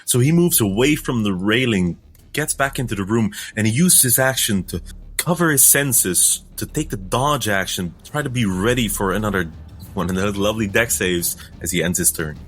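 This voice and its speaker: A man's voice: lowish voice, expressive, moderate pace, a bit bright. A man playing a tabletop role-playing game, describing his character's actions in the third person. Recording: background music under the voice.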